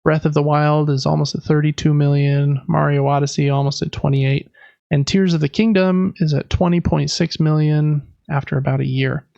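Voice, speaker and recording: A man's voice: on the high side, fairly even intonation, moderate pace, gravelly, warm tone, no echo, dull audio. A man hosting a podcast, casually recapping news in a conversational way with explanatory asides. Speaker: podcast host.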